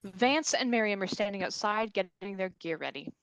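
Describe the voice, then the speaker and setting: A woman's voice: mid-range voice, expressive, normal speed, a bit bright, poor audio. A woman narrating the stage directions of a script at a table read.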